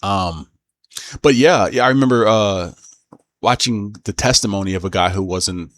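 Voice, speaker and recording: A man with a low voice talks through his opinions in a casual commentary style. His delivery is halting and unscripted, and he often backs up to correct his own wording. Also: fairly bright tone, no room sound, clean studio sound.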